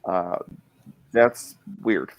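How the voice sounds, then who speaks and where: A man's voice: low voice, extremely slow, poor audio. A man hosting a podcast who talks in a chatty way and explains things at length. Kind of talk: casual podcast chat.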